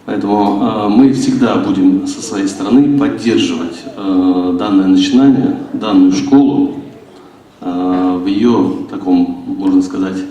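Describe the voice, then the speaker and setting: A man representing a company gives a halting formal thank-you speech, pausing often on filler sounds. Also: sits low, mellow. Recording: echoey hall, distant mic, faint noise.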